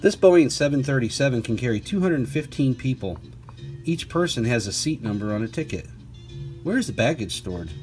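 A man reads a children's picture book aloud, clearly and deliberately, adding chatty asides and playful questions for young listeners. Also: medium pitch, lively intonation, darkish tone, soft background music.

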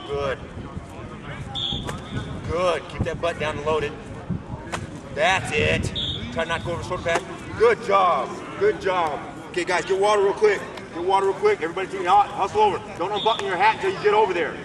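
A man's voice: sits high, brisk, vocal fry, a crowd in the background. A man coaching young football players outdoors with short, encouraging commands, heard from a distance. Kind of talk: coaching drills on a field. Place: outdoor football field at a camp.

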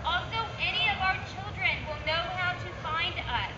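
Hoarse woman w very high voice addressing a park crowd, far off & echoey, loud crowd + traffic behind. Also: animated, moderate pace. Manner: speaks in short phrases for the crowd to repeat. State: losing her voice. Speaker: kids camp organizer. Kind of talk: public assembly announcement. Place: outdoor city park assembly. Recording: far-off, dull, echoey outdoor camera recording. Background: large crowd and street noise.

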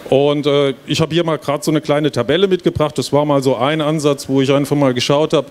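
A man's voice: fairly high pitch, fairly even intonation, brisk, a little mellow. A middle-aged man giving an informal, explanatory lecture to an audience, as a professor presenting his work. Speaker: professor of game design, presenter. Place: stage at an indoor book fair. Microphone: handheld microphone. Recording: clear background noise of fair hall. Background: trade fair hall ambience.

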